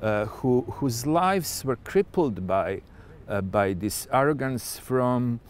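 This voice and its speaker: A man giving a reflective commentary, pausing often to search for his words. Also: medium pitch, expressive, slight background noise.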